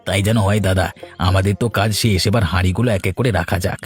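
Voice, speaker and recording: A man's voice: low-pitched, fairly even intonation, quick pace, slightly rough, soft background music. A man playing an eager, busy village brother who works in the family fish trade, in a cartoon. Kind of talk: Bengali cartoon dialogue.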